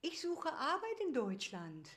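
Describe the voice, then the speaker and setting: A woman's voice: high-pitched, wildly swooping pitch, a little slow, a bit bright. A woman teaching German to beginners, saying each sentence word by word and then whole, with an English prompt first. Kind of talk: slow repetition drill for beginners.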